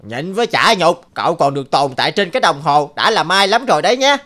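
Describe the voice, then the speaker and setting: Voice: mid-range voice, animated, fast talker, a bit bright, natural voice. A performer voicing a self-important, scornful male clock minute hand in a cartoon, dismissive and boastful, with music behind. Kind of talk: animated fairy-tale cartoon dubbing.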